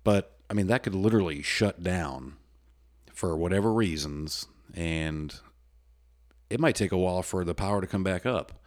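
Deep-voiced man rambling on a podcast. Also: normal speed. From United States. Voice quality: loud voice. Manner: rambling, folksy, with frequent restarts and fillers. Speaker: podcast host. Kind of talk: solo casual podcast talk.

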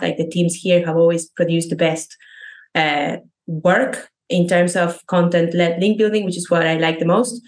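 A woman in her thirties from Argentina, speaking English with an Argentine Spanish accent as a podcast guest, thoughtful and laughing lightly as she talks. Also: fairly low pitch. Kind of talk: conversational interview.

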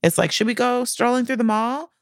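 A woman's voice: on the high side, a little fast, dry recording, clean studio sound. A woman co-hosting a podcast, telling personal stories in a casual, giggly, slangy way. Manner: giggly, animated retelling with acted-out quotes; informal, slangy, peppered with like and swearing. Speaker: podcast co-host. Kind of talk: casual personal storytelling chat.

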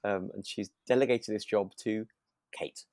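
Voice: mid-range voice, steady tone, moderate pace, darkish tone, dry recording. A podcast co-host chatting casually about a radio drama, rambling a little and often repeating words while thinking aloud.

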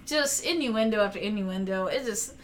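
A woman's voice: mid-range voice, normal speed, background noise. A woman from the American South in casual chat, telling stories animatedly and laughing often. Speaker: guest.

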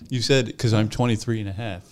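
A young man in casual podcast chat, teasing his co-host and pressing him with quick follow-up questions. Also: fairly low pitch, darkish tone.

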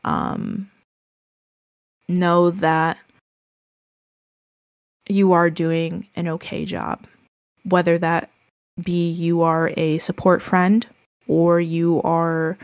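A woman co-hosting a casual podcast, speaking gently and haltingly with many ums and restarts, her voice described as soothing. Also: on the low side, no echo. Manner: gentle, reassuring advice. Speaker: podcast co-host.

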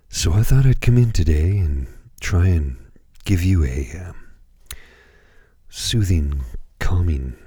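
Very deep, slow hoarse man. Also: mellow, dry recording, clean studio sound. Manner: hesitant, many ums and pauses. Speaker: radio show host. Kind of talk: slow, calm radio presentation.

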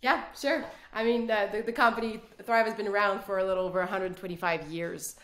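A woman's voice: mid-range voice, fairly even intonation, brisk, fairly bright tone. A woman from City, a guest speaking earnestly in a podcast interview, with many restarts. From Quebec City, Canada. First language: French. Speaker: guest executive. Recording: roomy echo.

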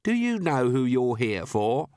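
A man's voice: medium pitch, sing-song, measured pace, a little mellow, dry recording, clean studio sound. A man voicing Granny Weatherwax, a blunt, firm older woman witch, in an audiobook. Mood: stern. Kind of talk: audiobook character voice.

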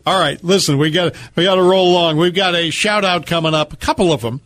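A man's voice: fairly high pitch, fast talker, a bit bright, no room sound. A man co-hosting a radio show, telling stories conversationally with a jokey, dryly teasing manner. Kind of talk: conversational radio talk with storytelling. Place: radio studio.

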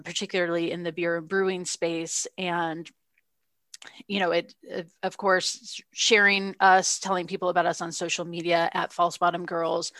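A woman's voice: lowish voice, fairly bright tone, dry recording. A woman co-hosting a podcast, talking in a reflective, earnest way with frequent restarts. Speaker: podcast co-host. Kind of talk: casual chat.

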